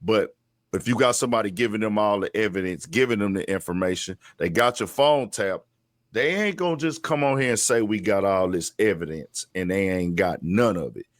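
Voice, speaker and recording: A man's voice: lowish voice, moderate pace, no echo, studio quality. A man hosting a talk show, giving casual, blunt, slangy street-style commentary on the news. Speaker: host commentator.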